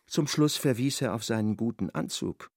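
A man narrating a literary radio play in an expressive, ironic storytelling manner. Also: mid-range voice, normal speed, dry recording, clean studio sound.

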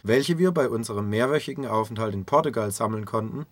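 A man's voice: on the low side, quick pace, no echo. A man reading scripted narration as the presenter of a radio feature.

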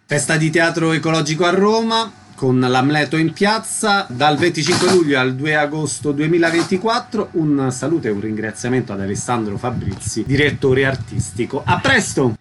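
A man hosting a radio interview, announcing events in brisk phrases. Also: a little high, animated, background noise. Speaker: radio host.

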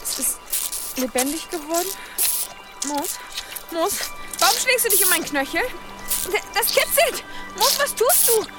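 A woman's voice: very high-pitched, very animated, unhurried, pauses often, music in the background, exaggerated voice. An actress playing a stubborn young pagan woman, emotional and exclamatory, in a radio drama. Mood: startled, bewildered. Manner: emotional, exclamatory, talking to herself and gods.

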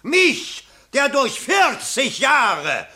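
Excitable, declamatory political candidate. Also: male voice, very high-pitched, drawn-out words, exaggerated voice. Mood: agitated, pleading. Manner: declamatory, theatrical. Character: excitable male political candidate, pleading and blustering. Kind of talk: 1970s German radio play acting.

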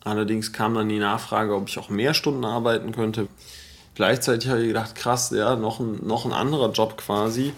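A man recording a casual spoken diary, pausing to think as he recounts his days. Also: lowish voice, steady tone.

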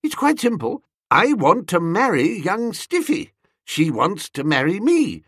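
Man playing a breezy, foppish young gentleman. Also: sits high, wildly swooping pitch, unhurried, husky, no room sound, clean studio sound, voice pushed up, full character voice. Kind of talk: comic audiobook character.

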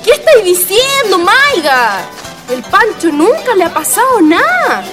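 A woman's voice: very high-pitched, sing-song, a little slow, over music, exaggerated voice. A woman playing an exasperated, scolding mother at a food stall, with a Chilean accent, in a radio drama. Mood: grumbling.

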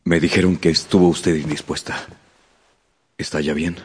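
An actor playing a proud, reserved gentleman suitor in a radio drama, speaking formally and haltingly. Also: extremely low pitch, little pitch movement, a little hiss or hum. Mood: stiffly concerned.

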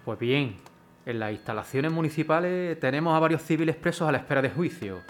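A man's voice: on the high side, lively intonation, fairly quick, faint music behind, lightly acted. Man playing a polite earnest mayor. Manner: polite, formal. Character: courteous city mayor, earnest.

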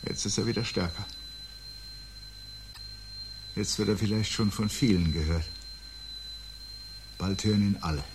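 An actor playing a resigned, brooding man awaiting the end of the world, speaking gravely and deliberately in a radio drama. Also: lowish voice, close to an everyday voice. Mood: grim, resigned. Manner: grave, deliberate, literate and reflective. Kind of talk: 1970s German radio drama acting. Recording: 1970s radio recording with loud hum.

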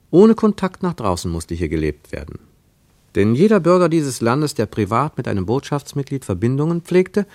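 A man narrating a literary radio play in a reflective, rhetorical manner with deliberate emphasis. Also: lowish voice, darkish tone, dry recording. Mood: resigned, explanatory. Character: reflective literary narrator of a postwar travel story.

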